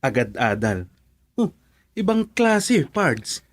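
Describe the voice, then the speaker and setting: A man's voice: sing-song, slow pace. A man playing a teasing young buddy. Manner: teasing, laughing, jumpy pitch. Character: teasing young male friend, joker. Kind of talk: radio drama acting.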